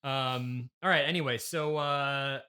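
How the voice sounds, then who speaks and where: A man's voice: medium pitch, fairly even intonation, unhurried, pushed and bright, clear, resonant tone. A man hosting a casual improvised comedy interview, stammering and hesitant, with a politely incredulous manner. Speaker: podcast host interviewing a guest.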